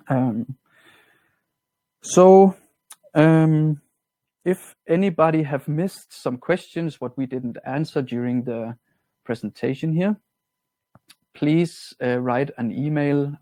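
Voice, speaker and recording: Man with a Danish accent, mellow and dry. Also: medium pitch, dry recording. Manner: relaxed, plain-spoken, slightly non-native grammar. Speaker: product trainer. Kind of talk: webinar software walkthrough.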